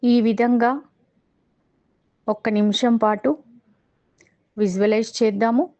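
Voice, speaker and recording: A woman with a Telugu accent guiding a meditation in a calm, measured way, pausing between short phrases. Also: a little high. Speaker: meditation guide. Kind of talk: guided meditation instruction.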